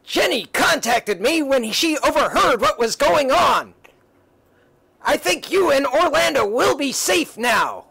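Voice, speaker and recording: High, strained, bombastic superhero. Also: male voice, big pitch swings, measured pace, vocal fry, pushed and bright, full character voice. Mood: heroic. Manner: hammy, melodramatic. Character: earnest, bombastic male comic-book superhero in his prime.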